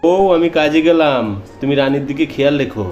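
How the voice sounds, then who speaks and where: A man voices a protective cartoon father, somewhat high in pitch and firmly remonstrating. The sound is dull and lo-fi, with faint music behind. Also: brisk, a little mellow, natural voice. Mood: firm, exasperated. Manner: remonstrating, firm. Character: protective father and exasperated husband. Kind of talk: cartoon melodrama acting. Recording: muffled, lo-fi sound.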